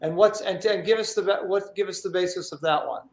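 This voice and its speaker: High-voiced, echoey male talk show host. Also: fast talker. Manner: stammering, repeats words, excitable. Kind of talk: casual live interview. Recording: roomy, echoey sound.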